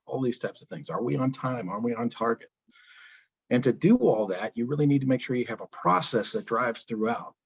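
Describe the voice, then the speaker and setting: A man's voice: medium pitch, a little hiss or hum, low-quality recording. A man giving a guest presentation in a business webinar, explaining steadily and asking rhetorical questions. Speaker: guest speaker, product lifecycle advisor.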